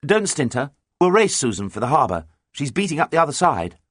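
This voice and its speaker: Man playing a brisk, commanding boy sailor captain. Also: on the high side, sing-song, fairly bright tone, breathy edge, no room sound, studio quality, voice pushed up, exaggerated voice. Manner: commanding, calling out nautical orders. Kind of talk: audiobook character dialogue.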